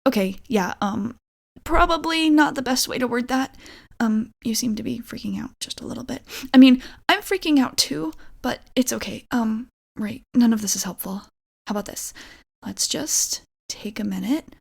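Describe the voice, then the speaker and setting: Girl doing a nervous awkward vampire roommate for asmr, highish voice w lots of fry, stammery and apologetic. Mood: nervous, confessing. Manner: halting, rambling, nervous, apologetic. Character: awkward, flustered young woman vampire roommate. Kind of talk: ASMR roleplay monologue.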